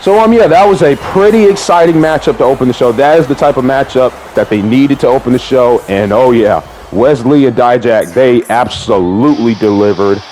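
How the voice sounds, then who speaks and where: Excitable man, slightly hoarse. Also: medium pitch, a little hiss or hum, poor audio. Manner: excitable, drawn-out exclamations, says "man" often. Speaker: vlogger. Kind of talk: casual vlog recap.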